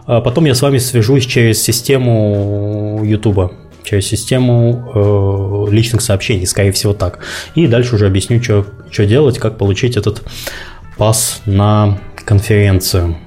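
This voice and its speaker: A man co-hosting a live podcast, laying out contest rules in a measured, explanatory way with light jokes. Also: lowish voice, fairly even intonation, dark tone, faint music behind. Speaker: podcast co-host.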